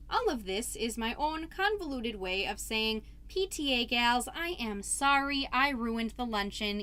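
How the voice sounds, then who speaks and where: A woman's voice: fairly high pitch, sharp tone. A woman playing an earnest, anxious suburban mom podcaster in a rambling, self-doubting comedic monologue that tends toward grand declarations. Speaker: podcast host character.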